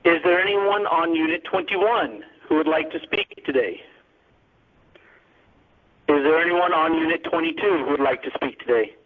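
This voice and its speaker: A man with a slightly high voice moderates a call. His delivery is orderly and businesslike, and the sound has the narrow, muffled band of a telephone. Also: normal speed.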